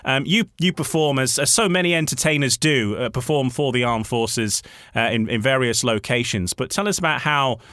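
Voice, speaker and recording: A man hosts a radio chat, speaking in a middle pitch with frequent hesitations and restarted phrases. His voice sounds dry and close, with no room echo at all. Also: moderate pace, a bit bright. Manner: hesitant, many fillers and restarts. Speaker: radio host.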